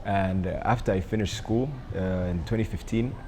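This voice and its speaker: A young man from Rwanda answering interview questions about his coffee business, pausing often with ums and uhs. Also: fairly low pitch, background noise. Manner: hesitant, many ums and uhs. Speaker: coffee entrepreneur.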